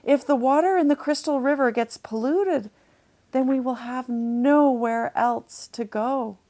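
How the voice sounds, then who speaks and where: Storybook manatee played by a woman: gentle, sorrowful, earnest, high-pitched and very unhurried. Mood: dismayed, earnest. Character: gentle, sad, itchy male manatee, earnest and hopeful.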